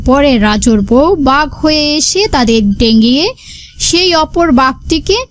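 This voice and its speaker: High-voiced woman narrating a cartoon story. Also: expressive, unhurried.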